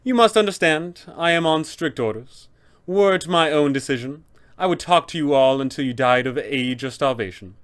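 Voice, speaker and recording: A man's voice: a little high, moderate pace, fairly bright tone, natural voice. A man voicing an officious, polite, fussy undead tomb custodian with formal announcer phrasing, as a tabletop villain. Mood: apologetic.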